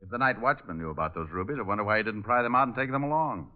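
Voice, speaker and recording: A man playing a cocky, sardonic private detective in an old-time radio drama, quick with quips. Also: on the low side, lightly acted. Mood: probing. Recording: thin, phone-like vintage radio recording.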